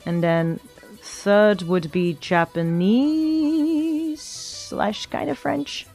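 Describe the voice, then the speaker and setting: A woman chats casually on a stream while she draws. Her is Dutch, her pitch sits in a middling range, and she rambles, thinking aloud and restarting her sentences. Soft music plays faintly behind her voice. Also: fairly even intonation, extremely slow. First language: Flemish Dutch. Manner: rambling, thinking aloud with frequent self-corrections. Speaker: virtual streamer. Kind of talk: casual chat while drawing, answering chat.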